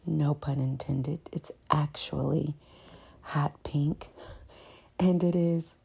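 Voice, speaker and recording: A woman's voice: low voice, fairly even intonation, takes it slowly, vocal fry, darkish tone, slightly rough. A woman giving a casual, chatty and welcoming ASMR voiceover as the host of the channel. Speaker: host and narrator of an ASMR scratch-therapy channel.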